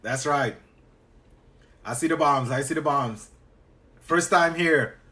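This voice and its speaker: A man's voice: a little high, pushed and bright. Trinidadian man coaching a live stream. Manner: chatty, repeats quick acknowledgements to viewers. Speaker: business coach. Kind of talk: live-stream teaching while answering chat.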